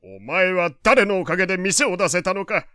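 A man's voice: high voice, lively intonation, normal speed, pushed and bright, no room sound, clean studio sound. An actor playing a teasing, wealthy old man who speaks in an archaic, grandly courteous way in an audio drama. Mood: scolding. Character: elderly male wealthy patron, genteel, teasing, old-fashioned. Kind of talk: Japanese audio drama acting.

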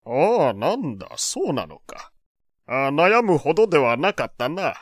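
A kindly, self-important old gentleman for a radio drama. His fairly high voice rises and falls freely in old-fashioned, avuncular phrases. The sound is dry. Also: very animated, measured pace, dry recording. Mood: relieved, impressed. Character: genial old gentleman, pompous but kindly family man. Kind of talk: Japanese audio drama acting.

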